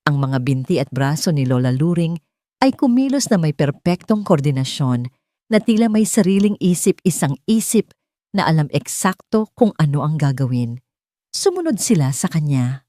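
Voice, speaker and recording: A woman's voice: low-pitched, very animated, normal speed, dark tone, no echo, clean studio sound. A woman narrating an audiobook in a dramatic, scripted storytelling style.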